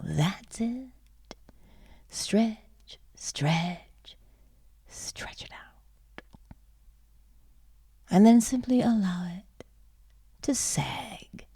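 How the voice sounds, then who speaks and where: A woman leading a guided sleep meditation in a soothing, hushed and breathy voice, leaving long pauses. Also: medium pitch, animated, dry recording. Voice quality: breathy, husky.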